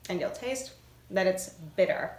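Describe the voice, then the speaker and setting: Slow-speaking woman leading prayer, roomy echo. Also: medium pitch, darkish tone. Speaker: worship leader. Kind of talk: guided prayer with hands-on demonstration. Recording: roomy echo, noise, kitchen clinking.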